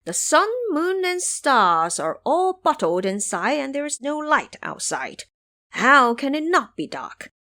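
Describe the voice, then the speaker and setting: A mischievous monkey trickster, played by a woman, talking with lively swings of pitch. He is confident and teasing, smoothly persuading his listeners in a fantasy audio drama. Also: takes it slowly, exaggerated voice. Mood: sly explaining.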